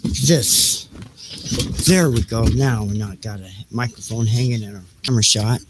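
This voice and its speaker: A man's voice: fairly low pitch, a little slow. A middle-aged man chatting off the cuff on a homemade livestream, rambling and half muttering to himself as he fiddles with his gear. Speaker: livestream host. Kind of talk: casual, unscripted livestream chatter. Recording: noisy homemade livestream setup.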